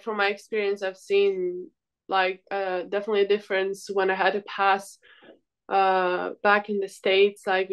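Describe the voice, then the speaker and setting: A woman's voice: medium pitch, clear, resonant tone, lo-fi sound. A young woman from Greece, a volleyball player answering interview questions in a casual, hesitant, informal way. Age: young adult.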